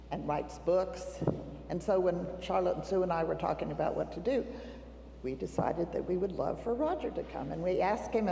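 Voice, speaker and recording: Organizer introducing the speaker, high gravelly voice, mellow, echoey church room. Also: male voice, a little hiss or hum. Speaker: event organizer. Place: church hall. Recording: echoey hall, faint ambience.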